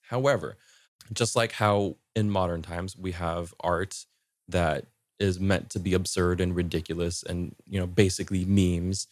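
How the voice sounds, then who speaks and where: Male podcast speaker, low register, dry sound, casually explanatory and lightly amused. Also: fairly even intonation, unhurried, no echo.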